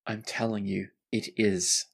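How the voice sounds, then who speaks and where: A man playing an earnest, weary man in a tabletop game, imploring and halting. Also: fairly low pitch, level tone, vocal fry, slightly rough, heavily acted. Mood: pleading, weary.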